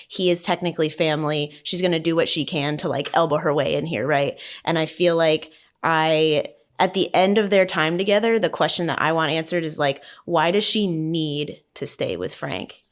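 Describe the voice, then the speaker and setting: A woman talking informally in a group discussion. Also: low-pitched. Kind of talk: casual panel discussion.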